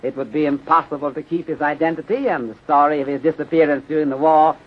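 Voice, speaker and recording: Guy playing polite patient detective in a 30s radio serial, formal, drops his articles, kinda high voice, dull old recording. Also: steady tone, fast talker, lightly acted. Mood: grave, probing. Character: polite, patient Chinese-born police detective from Honolulu. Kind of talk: 1930s radio acting. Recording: muffled, noisy 1930s radio recording.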